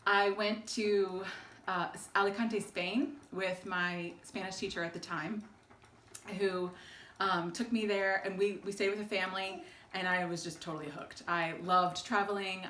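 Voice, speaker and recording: A woman's voice: lowish voice, fairly even intonation, unhurried, a bit bright, roomy sound, a little hiss or hum. A woman in her forties from Texas, chatting casually to camera in her home kitchen with frequent ums and easy laughs. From Texas, United States. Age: 40s. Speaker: alumna sharing her story. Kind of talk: casual home video chat. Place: home kitchen in an old English house. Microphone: camera held by her husband.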